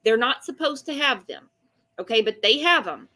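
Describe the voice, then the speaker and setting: A woman's voice: a little high, expressive, normal speed, pushed and bright. A woman co-hosting a live true-crime chat show, talking in a blunt, emphatic, colloquial way. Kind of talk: casual opinionated chat.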